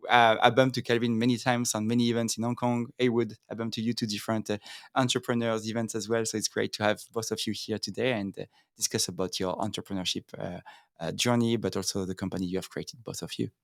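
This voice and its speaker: Fast-talking man with a French accent, gravelly. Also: fairly low pitch, little pitch movement, no echo, clean studio sound. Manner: fast, hesitant, many fillers and restarts. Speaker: podcast host. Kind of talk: interview podcast.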